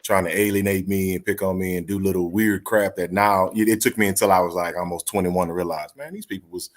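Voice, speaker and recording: A man in casual chat, telling a relaxed story from his childhood. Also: low voice, quick pace.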